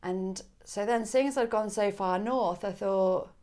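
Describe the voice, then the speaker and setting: A woman's voice: medium pitch, a little slow. A woman from England with a northern English accent, a parish priest chatting easily in a podcast interview, laughing often. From Coventry, England. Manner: relaxed, chatty, laughs easily, many ums.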